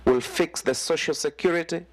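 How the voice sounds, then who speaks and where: A man's voice: a little high, normal speed. A man from Kenya with a Kenyan accent, asking the panel a long, formal question from the floor. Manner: formal, building his point. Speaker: audience member asking a question.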